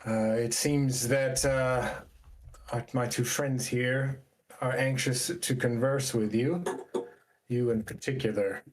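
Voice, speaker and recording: A gracious firbolg woman who leads a camp in a tabletop fantasy game, played by a man. The speech is slow, formal and measured, at a middling male pitch. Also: steady tone, lightly acted. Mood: grateful, measured. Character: courteous female firbolg camp leader.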